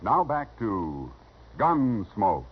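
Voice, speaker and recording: A man's voice: fairly low pitch, very animated, drawn-out words, loud music behind the voice. An American man reading a 1950s radio commercial in a folksy, upbeat announcer's manner. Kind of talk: 1950s radio advertising read. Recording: dull 1950s radio recording.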